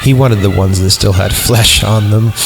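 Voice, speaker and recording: Podcast host, male, low gravelly voice, rambling irreverent reader, music bed underneath. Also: steady tone, moderate pace, noisy background. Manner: rambling, irreverent, joking commentary. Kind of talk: comedic story reading with asides.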